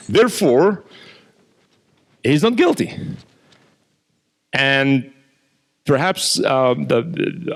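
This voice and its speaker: Man, imam, lecture and Q&A, mid pitch, explanatory, repeats words while thinking. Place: mosque lecture hall.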